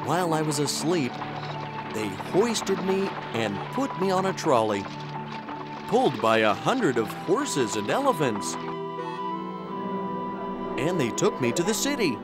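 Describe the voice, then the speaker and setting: An actor playing an earnest, polite traveler who tells his adventure in an expressive children's story style. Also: fairly high pitch, measured pace, long pauses. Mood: earnest storytelling. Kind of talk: scripted children's story narration in character. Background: music.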